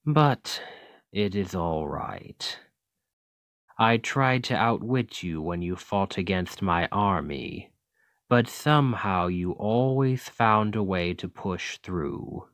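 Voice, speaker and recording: A man voicing a smug, domineering demon king in an ASMR roleplay, speaking in a deliberate, condescending and coaxing way. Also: lowish voice, dry recording, full character voice. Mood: frustrated, determined. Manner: slow, deliberate, condescending, seductive menace. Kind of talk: scripted ASMR roleplay monologue.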